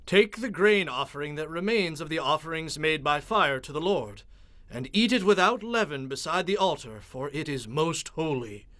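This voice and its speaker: A man's voice: on the high side, bright, forceful tone, dry recording. A man playing a stern, commanding prophet in a dramatized Bible audio production, speaking in a declamatory, authoritative way.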